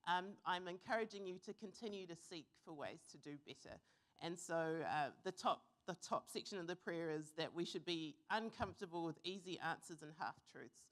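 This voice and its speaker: A young woman from New Zealand giving an earnest, reflective conference talk, pausing with fillers now and then. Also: fairly low pitch. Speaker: conference speaker. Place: conference hall.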